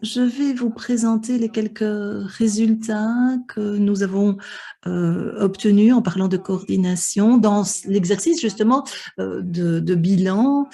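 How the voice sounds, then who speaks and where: A woman's voice: mid-range voice, slow pace, vocal fry, warm tone. A woman interpreting a conference panel live, with many hesitations and restarts. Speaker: simultaneous interpreter for an online panel.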